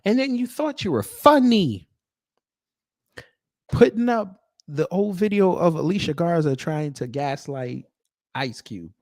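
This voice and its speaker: A man's voice: a little high, lively intonation, a little mellow, dry recording. Sarcastic American man giving opinion commentary, dry sound. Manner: sarcastic, confrontational, with pointed pauses. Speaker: online commentary host. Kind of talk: off-the-cuff opinion commentary, reading posts aloud.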